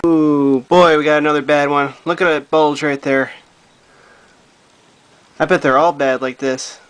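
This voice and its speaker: A man with a fairly high voice talks through a hands-on repair in a casual way. He thinks aloud as he works, speaking informally into his own handheld camera, with music clearly audible behind him. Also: steady tone, unhurried, fairly bright tone. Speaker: hobbyist repairing vintage audio gear. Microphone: handheld camera he operates himself.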